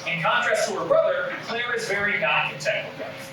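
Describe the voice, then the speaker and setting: A man's voice: high-pitched, fairly quick. A man reading comic stage directions aloud as narrator at a table read, recorded in a room at a distance. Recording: echoey room, distant mic, noisy.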